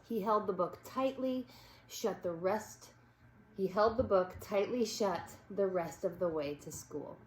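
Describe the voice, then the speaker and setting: A woman's voice: medium pitch, a little mellow. Woman reading a storybook in an echoey room. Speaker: read-aloud narrator. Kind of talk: expressive children's storybook read-aloud. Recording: echoey room with background noise.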